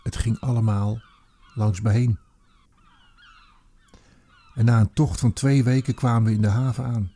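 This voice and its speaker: A man's voice: medium pitch, fairly even intonation, warm tone. Man playing a haunted Roman commander, theatrical radio drama. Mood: reflective, weary. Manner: theatrical, halting, reflective, with emphatic repeated words. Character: old Roman army commander, haunted, looking back on atrocity. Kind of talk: dramatic radio play monologue.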